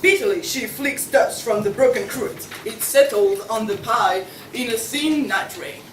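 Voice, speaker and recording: A woman's voice: fairly high pitch, unhurried. A young woman reading scripted narration as a student narrator at a play table read. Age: young adult. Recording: echoey room, distant mic, faint noise.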